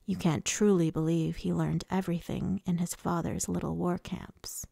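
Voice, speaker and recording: A woman's voice: fairly low pitch, level tone, normal speed, creaky, warm tone, husky, no echo, clean studio sound, exaggerated voice. A woman voicing a taunting, casually cruel immortal male lord in an audiobook fantasy story, with a purring menace. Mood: taunting, menacing. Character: menacing, smooth, mocking immortal male High Lord villain.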